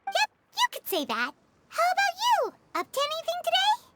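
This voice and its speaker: Game sidekick: little girl, very high-pitched, animated, chirpy, naive and exclamatory. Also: normal speed, very noisy, exaggerated voice. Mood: excited. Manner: exclamatory, bubbly. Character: small cheerful childlike female companion, chatty and naive. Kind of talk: video game character acting.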